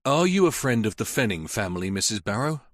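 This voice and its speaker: A man voicing a police inspector who questions a witness in a measured, firm way. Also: fairly low pitch, big pitch swings, dry recording. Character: stern, patient male police inspector. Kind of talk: audiobook character voice.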